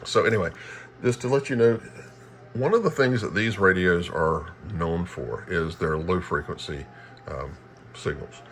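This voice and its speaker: A very low-voiced man talks through a casual equipment demonstration. He speaks haltingly, thinking aloud as he goes, with clear static and hiss behind him. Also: fairly bright tone. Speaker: radio hobbyist demonstrating equipment. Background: shortwave radio noise.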